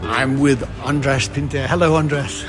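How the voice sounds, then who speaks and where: A man's voice: mid-range voice, fairly even intonation, moderate pace, over loud music. A man, speaking as a podcast host. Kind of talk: casual interview chat and scripted promo reading.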